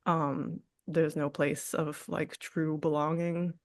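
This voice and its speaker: A woman artist in a candid interview, speaking reflectively with frequent fillers, restarts and soft laughs. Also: sits low, little pitch movement, slow pace, no echo, studio quality. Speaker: artist guest.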